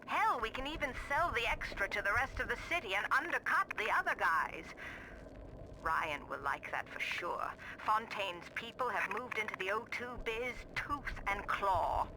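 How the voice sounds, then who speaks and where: A woman's voice: on the high side, lively intonation, moderate pace. An actress playing a brash middle-aged woman scientist in a game audio diary, wry, earthy and boastful. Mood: shrewd, chatty. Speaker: botanist recording audio diaries. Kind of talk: dramatic audio diary monologue in a video game. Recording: noisy old radio-like audio diary.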